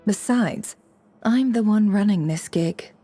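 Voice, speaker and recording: A woman's voice: medium pitch, takes it slowly, mellow, soft background music. A woman playing a cool, sly, haughty boss of an intelligence network in a video game.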